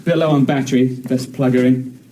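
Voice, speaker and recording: Radio comedy: hesitant, apologetic chap played by a man, mid-pitched, awkward and eager to please. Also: fairly even intonation, fairly quick, noisy background, close to an everyday voice. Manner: awkward, stumbling, polite. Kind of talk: radio sitcom acting.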